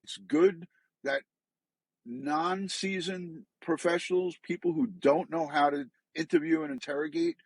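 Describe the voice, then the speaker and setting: A man's voice: a little high, measured pace, breathy edge, dry recording. A middle-aged man, a retired law enforcement officer, giving earnest, emphatic and disapproving opinion commentary.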